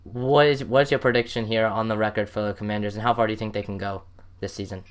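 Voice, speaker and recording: Smooth, even-toned American male host. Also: lowish voice, fast. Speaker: sports show host. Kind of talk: casual interview chat.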